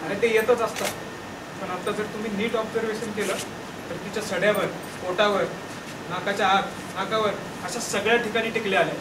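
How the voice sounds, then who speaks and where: A man acting as an earnest village veterinary doctor in a stage drama, explaining gravely and deliberately, phrase by phrase. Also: sits high, fairly even intonation, close to an everyday voice. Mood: grave, concerned. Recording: echoey room, distant mic, loud background noise.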